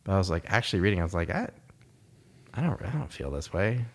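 A man's voice: low voice, very animated, quick pace. A man talking in a casual conversation, reflective and rambling, with many fillers and restarts. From United States.

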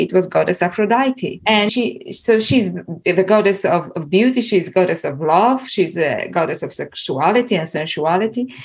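A woman with a Polish accent, an author and scholar answering interview questions in a thoughtful, earnest way. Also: on the low side. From Poland. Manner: thoughtful, earnest, with some restarts.

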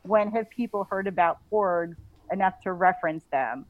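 A woman being interviewed on a casual podcast, telling stories in an animated way and acting out bits of dialogue. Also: lowish voice, moderate pace, no echo, narrow, tinny audio. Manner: animated storytelling, quotes imagined dialogue, giggly. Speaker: author, interview guest.

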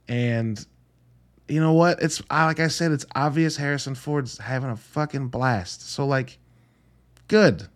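A man's voice: medium pitch, measured pace. A man hosting a solo podcast in a casual, enthusiastic and emphatic way, with informal language and wry asides. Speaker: podcast host reviewing films.

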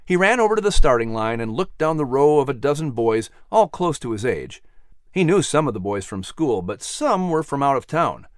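A man narrating a scripted children's story as announcer, with a theatrical, expressive delivery. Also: mid-range voice, no echo, clean studio sound.

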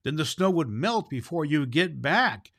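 A man's voice: a little high, very animated, a little slow, a bit bright, slightly rough, no echo, clean studio sound, heavily acted. A friendly deer from a children's storybook, voiced by a man reading. It talks practically and plainly, sure of what it says. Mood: advising. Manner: matter-of-fact, decided.

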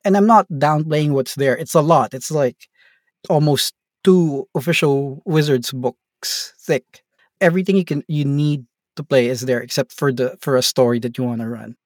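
A man's voice: mid-range voice, moderate pace, no echo, clean studio sound. A man from the Philippines, a tabletop game master, talking casually as an interview guest with lots of fillers and tag questions. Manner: rambling, relaxed, many fillers and tag questions.

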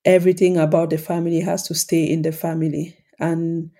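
A woman from Cameroon, hosting her own podcast as a coach and explaining family patterns in a calm, reflective way. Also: low voice, a little fast. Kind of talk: solo podcast talk.

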